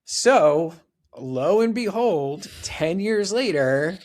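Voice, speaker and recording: A man's voice: high-pitched, lively intonation, extremely slow, darkish tone. A man in his forties, a podcast guest, chatting casually and dropping lots of "like" and "right?" asides. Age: 40s. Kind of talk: casual interview chat.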